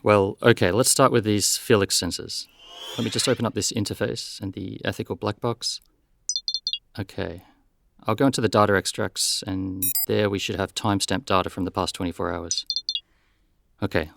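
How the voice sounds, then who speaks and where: Actor as businesslike male investigator for radio play: deep, creaky voice, plain-spoken and brisk. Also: steady tone, background noise. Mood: analytical. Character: brisk, matter-of-fact male detective investigating robot accidents. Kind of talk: scripted audio drama.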